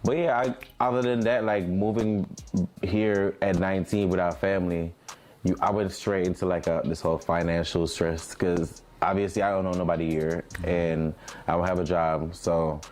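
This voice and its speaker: A deep-voiced man from Jamaica talks as a guest in a casual interview. He tells personal stories frankly, with slang and plenty of swearing. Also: fairly even intonation, normal speed, a little mellow, a little hiss or hum. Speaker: podcast guest. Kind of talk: candid casual storytelling interview.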